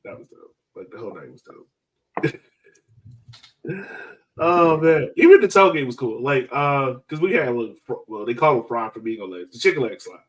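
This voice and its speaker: A man chatting casually as a sports fan podcast host, excited and slangy, swearing and repeating phrases for emphasis. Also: medium pitch, moderate pace, far from the mic, rough recording.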